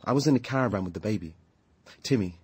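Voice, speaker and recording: Low, husky British man, earnest and troubled. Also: expressive, fast talker, dry recording. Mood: confiding. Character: earnest, troubled male anti-nuclear activist living in a caravan. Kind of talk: radio drama acting.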